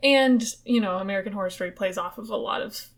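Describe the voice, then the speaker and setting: A young woman hosting a podcast, telling ghost stories in casual chat, swearing freely and chasing quick asides. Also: medium pitch.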